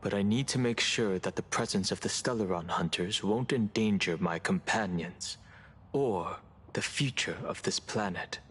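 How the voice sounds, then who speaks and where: A man playing a calm, guarded young traveler in a video game, polite, formal and firm, with music behind him. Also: lowish voice, normal speed, breathy edge. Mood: measured, wary.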